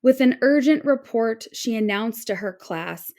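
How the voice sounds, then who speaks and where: A woman reading a children's picture book aloud in an expressive, carefully phrased storytelling way. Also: on the high side, unhurried.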